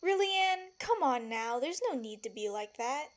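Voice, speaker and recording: High, blunt, feisty woman confidante, acted. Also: lively intonation, measured pace. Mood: concerned. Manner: blunt, emphatic. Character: feisty, protective, blunt female palace servant and confidante. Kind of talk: audio drama acting.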